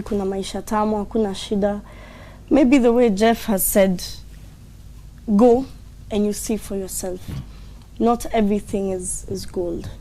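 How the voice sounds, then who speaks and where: A woman guest from Italy speaking earnestly and warmly about home in a casual interview. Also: mid-range voice, a little hiss or hum. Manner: earnest, heartfelt.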